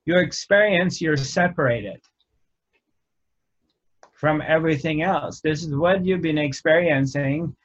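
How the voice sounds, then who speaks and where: A man giving a reflective spiritual talk, speaking in short phrases with frequent pauses. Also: fairly high pitch. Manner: slow, measured, pausing often between phrases. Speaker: spiritual teacher. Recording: dull lo-fi recording.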